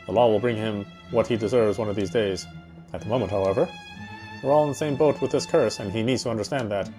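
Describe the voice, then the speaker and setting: Male gamer-narrator, medium pitch, dramatic read-aloud delivery, prominent game music underneath. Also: darkish tone.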